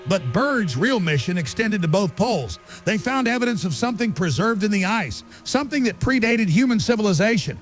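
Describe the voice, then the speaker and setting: A man's voice: high voice, big pitch swings, brisk, hardly any pauses, soft background music. A man acting an earnest, insistent conspiracy researcher being interviewed as a guest in an audio drama.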